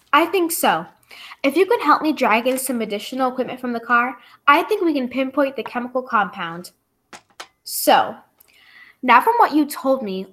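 Woman acting flustered female doctor, high voice, lively pitch, questioning, 1940s radio style, noisy clicky audio. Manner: exclamatory, questioning. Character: inquisitive female doctor, flustered expert toxicologist. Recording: clearly noisy recording with clicks.

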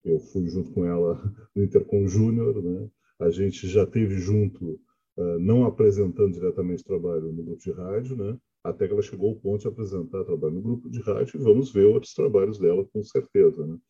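A mature man with a deep and extremely dark voice speaks like a reflective professor. His delivery is halting, full of small tag questions, and the husky sound comes through dull and muffled. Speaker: professor and research supervisor. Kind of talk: reflective remarks in an online academic panel.

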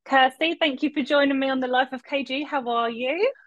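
A woman's voice: high-pitched, fairly quick, highs cut off, loud music behind the voice. A woman from the UK hosting a podcast, chatting enthusiastically with her guest and laughing often. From United Kingdom. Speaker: podcast host. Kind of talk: casual interview hosting.

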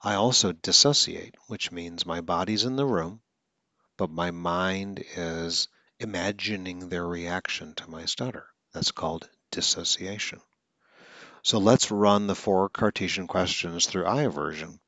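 A man's voice: deep voice, unhurried, sharp tone, no room sound. A man who stutters now and then, hosting a podcast and coaching listeners in an earnest, instructional monologue.

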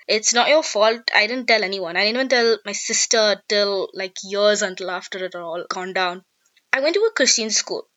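A woman's voice: fairly high pitch, sharp tone. A young woman, reading a scripted personal essay in an articulate, sharply political and wry way. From India. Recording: dull lo-fi recording.

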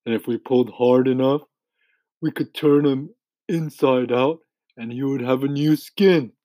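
Storybook earthworm , voiced by a man: glum, complaining, unhurried drawl, soft-edged tone, close dry sound. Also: medium pitch, no echo, voice pushed up, close to an everyday voice. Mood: scheming. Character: gloomy male earthworm musing on odd schemes.

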